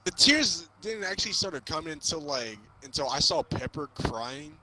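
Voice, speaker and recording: A young man chatting casually while gaming, telling things in a rambling, halting way. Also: a little high, sing-song. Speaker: gamer reviewing a film with a friend. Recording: crowd and ball bounces from game. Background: basketball video game crowd noise.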